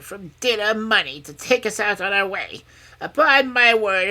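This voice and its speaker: A woman's voice: on the high side, pushed and bright, voice pushed up. A woman voicing a mean, grasping little old crone in a storybook, grumbling and mocking as she haggles. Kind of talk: storybook character voice.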